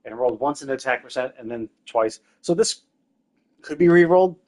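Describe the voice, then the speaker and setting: A man's voice: medium pitch, animated, brisk, a little mellow. A man streaming a game live, thinking aloud in a loose, rambling way with lots of restarts. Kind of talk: casual live-stream commentary while playing.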